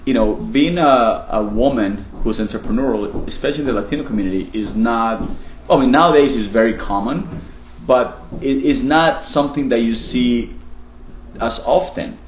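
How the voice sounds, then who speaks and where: A man from Colombia leads a relaxed interview, joking and animated, and he often repeats words as he goes. His voice sits in a middling register. The sound is dull and echoes around a room. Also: mid-range voice, normal speed, a little hiss or hum. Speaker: interviewer, real estate team leader. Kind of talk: casual interview.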